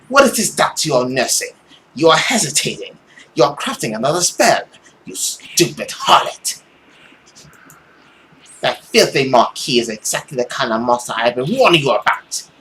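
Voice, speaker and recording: A menacing mother, put on by a man haunts her daughter with scorn. The delivery is animated, accusing and commanding, with drawn-out words. Faint noise sits behind it. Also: medium pitch, rough recording. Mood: scornful.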